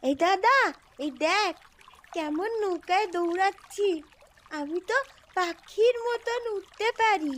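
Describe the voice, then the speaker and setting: A woman's voice: very high voice, slow pace, a bit bright, exaggerated voice. A woman voicing a young child in a cartoon family story, speaking in an earnest, childlike way. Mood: playful. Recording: music behind the voice.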